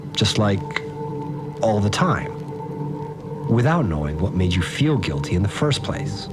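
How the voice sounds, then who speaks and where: A troubled fixer, recounts things in a very low male voice. He is plain-spoken, hesitant and full of doubt, as in an audio drama confession. Music plays clearly behind him.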